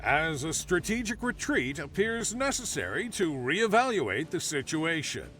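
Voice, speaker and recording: A militarist future's propaganda newsman for a game. He is formal, dramatic and very animated, in a fairly high voice, with a distant broadcast sound and music behind him. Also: a little slow. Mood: grave bulletin. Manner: grandiose, formal, dramatic announcing. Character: bombastic, patriotic male propaganda newscaster of a militarist future. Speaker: propaganda newsreel announcer. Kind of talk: satirical wartime propaganda news broadcast narration.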